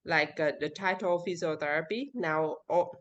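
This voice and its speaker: A woman with a Vietnamese accent speaking English as a second language, answering interview questions haltingly and searching for words. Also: sits low, lo-fi sound. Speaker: interview guest. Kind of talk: casual interview.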